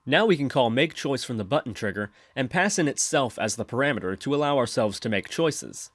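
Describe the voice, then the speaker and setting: A man's voice: mid-range voice, lively intonation, a little fast, dry recording. A man giving scripted instructional narration as a tutorial presenter, explaining methodically step by step. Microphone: close mic, screen-recording voice-over.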